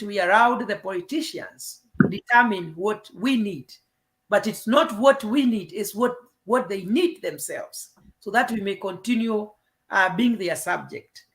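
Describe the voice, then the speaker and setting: A woman's voice: medium pitch, fairly bright tone. A Kenyan woman with a Kenyan accent in an earnest political discussion, mixing English and Swahili. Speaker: panelist.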